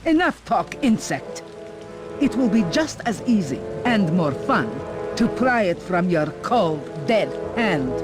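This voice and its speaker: An actress voicing a haughty, cunning villainess in a video game, speaking in a pompous, contemptuous and theatrical way. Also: female voice, mid-range voice, big pitch swings, slow pace, loud music behind the voice. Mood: haughty, menacing. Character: haughty, cunning aristocratic villainess, a sinister intellectual creature.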